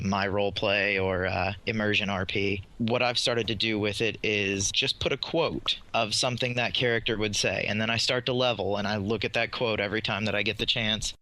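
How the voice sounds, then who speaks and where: A man's voice: on the low side, sharp tone. A man speaking as a podcast panelist, explaining his approach in casual talk.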